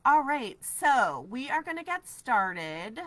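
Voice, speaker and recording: A woman's voice: sits high, big pitch swings, slow pace, fairly bright tone, dry recording. A woman in her forties with a stuffy head cold, chatting upbeat on a craft livestream in her studio, answering viewer comments. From New Hampshire, USA. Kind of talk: casual craft livestream chat. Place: craft studio with shelves of ribbon. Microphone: camera at desk distance.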